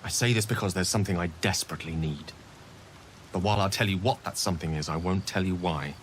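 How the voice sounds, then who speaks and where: Man playing a deep-voiced, eloquent wizard. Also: fast, a little hiss or hum, natural voice. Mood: grave, earnest. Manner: eloquent, formal, wordy. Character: courteous, learned male wizard with a grave secret. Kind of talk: video game dialogue acting. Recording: music and rain behind the voice. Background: music, rain.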